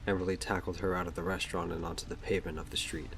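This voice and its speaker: A man's voice: sits low, little pitch movement, rapid-fire, fairly bright tone. A man narrating a scripted audio drama, describing the action as the story's narrator.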